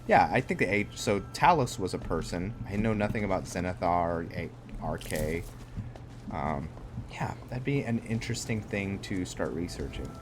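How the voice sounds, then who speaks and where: A man's voice: deep voice, lively intonation, moderate pace, noisy background. A man giving casual, hesitant gameplay commentary, thinking aloud as he goes. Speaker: gaming video host. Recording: background music under close commentary.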